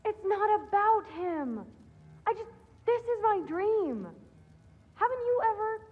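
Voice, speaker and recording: A woman's voice: extremely high pitch, animated, slow pace, long reverb tail, phone-quality sound, heavily acted. A woman playing a headstrong teenage goddess daughter in a dramatic game cutscene, defiant, earnest and impatient.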